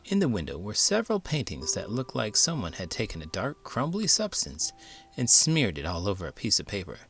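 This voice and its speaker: Low-voiced, animated man reading aloud. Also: brisk. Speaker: children's book reader, elementary school teacher. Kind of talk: expressive read-aloud storytelling.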